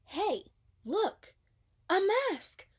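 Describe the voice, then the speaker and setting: A friendly female blue fish from a storybook, put on by a woman reader. She speaks high and eagerly, with cheerful exclamations. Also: sing-song, very slow, full character voice. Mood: excited.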